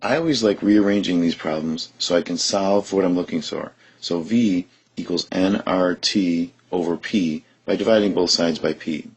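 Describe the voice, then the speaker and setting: A man with a very deep, husky voice teaching chemistry. He talks through each step of a problem in a steady, methodical way. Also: moderate pace, few pauses, rough recording. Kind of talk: recorded lesson explanation.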